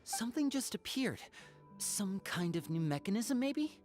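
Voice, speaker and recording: A man's voice: high-pitched, very animated, unhurried, darkish tone, soft background music. A young performer voicing a confident young male magician who speaks in a light, assured, persuasive way.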